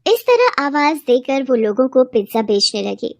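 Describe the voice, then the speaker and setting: A woman's voice: high voice, sing-song, creaky, darkish tone, no echo. A woman reading a scripted moral story for children as a storyteller.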